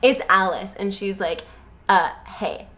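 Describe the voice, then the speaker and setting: A woman's voice: medium pitch, unhurried, bright, forceful tone, a little hiss or hum. A woman co-hosting a podcast, recapping a book in a jokey, slangy way and acting out lines as she goes. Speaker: podcast co-host. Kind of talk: comedic book recap chat.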